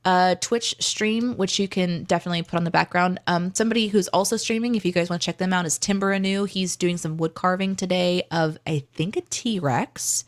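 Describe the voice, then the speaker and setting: Woman cohost on a livestream, kinda low voice, chatty and encouraging, just hanging out. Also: fairly even intonation, fairly quick, few pauses. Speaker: co-host of a live stream and podcast. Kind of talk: casual livestream chat.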